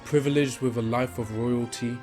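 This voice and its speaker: A man narrating a fantasy audio drama in a measured storytelling manner. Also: medium pitch, fairly even intonation. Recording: narration over faint music.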